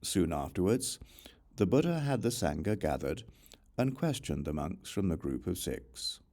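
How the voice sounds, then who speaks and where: A man reading scripture as an audiobook narrator, in a measured, formal recitation. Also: low voice, big pitch swings, darkish tone. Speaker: audiobook reader.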